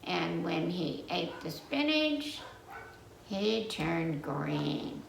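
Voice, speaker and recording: A woman tells a children's story at an unhurried pace, meandering as she goes. Her voice has some fry in it. She is recorded at a distance from the microphone in a roomy space. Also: fairly low pitch, big pitch swings. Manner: halting, meandering telling with dramatic questions to listeners. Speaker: library storyteller. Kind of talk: children's story time, told from memory. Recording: roomy, distant mic with faint noise.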